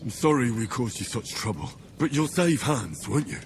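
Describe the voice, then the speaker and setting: A man's voice: mid-range voice, sing-song, moderate pace, slight background noise, natural voice. An actor playing a young man, wounded and earnest, who speaks politely and plainly. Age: young adult. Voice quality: earnest, courteous. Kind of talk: video game dialogue.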